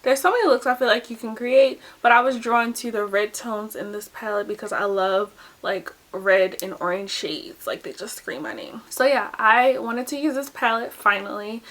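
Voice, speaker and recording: A woman's voice: a little high, moderate pace, few pauses, a bit bright. A young woman chatting casually through a makeup tutorial at home, close to the camera, with a dry, groggy throat and lots of "like" and "um". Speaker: beauty vlogger.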